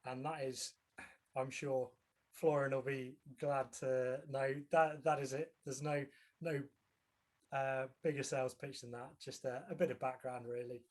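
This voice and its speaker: A man introduces himself and a colleague at an informal online meetup. His pitch is middling and stays very flat. He speaks in short, halting pieces, as on a video call.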